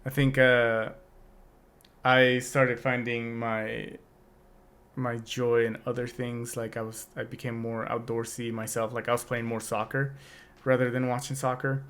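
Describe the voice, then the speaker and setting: A man's voice: medium pitch, level tone, a little slow, fairly bright tone. A young adult man co-hosting a podcast, talking in a casual, reflective way with many restarts. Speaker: podcast co-host. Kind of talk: casual chat.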